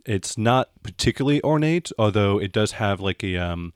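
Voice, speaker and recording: A man with a fairly low voice narrates a tabletop game as game master. He improvises his descriptions conversationally, feeling his way through them haltingly. The sound is dry, with little room on it. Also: expressive, clean tone, dry recording.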